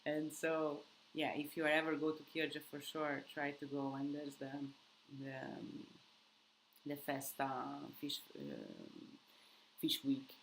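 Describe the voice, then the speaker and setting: A woman's voice: sits low, very animated, slow pace, vocal fry, slight background noise, rough recording. Chatty woman, non-native English. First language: not English. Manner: chatty, rambling, frequent restarts and fillers. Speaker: vlogger hosting a live Q&A. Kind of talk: casual live-stream chat with viewers. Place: countryside home.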